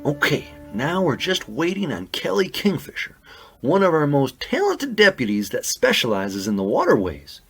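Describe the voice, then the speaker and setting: A man voicing an earnest, excitable raccoon detective running a case in a children's audio drama. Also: fairly high pitch, normal speed, over music. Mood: businesslike. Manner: polite, businesslike, flustered when surprised.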